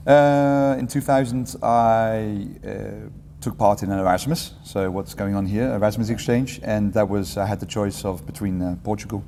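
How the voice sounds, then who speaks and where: A man in his forties, a teacher being interviewed, answering in a relaxed, rambling way with light humor and frequent self-corrections. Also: on the low side, normal speed, long reverb tail, slight background noise. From England. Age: 40s. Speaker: guest, entrepreneurship teacher.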